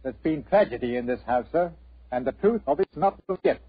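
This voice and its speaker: A man's voice: medium pitch, animated, fairly quick, husky, no echo. A man playing a stiff, guarded, sinister butler with formal, curt speech in a 1940s radio drama. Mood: guarded, stern. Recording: muffled old radio recording.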